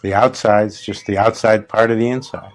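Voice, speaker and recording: A man leading a guided meditation as a spiritual teacher, in short, gently instructive phrases with long, unhurried pauses between them. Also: fairly low pitch, fairly even intonation, faint music behind.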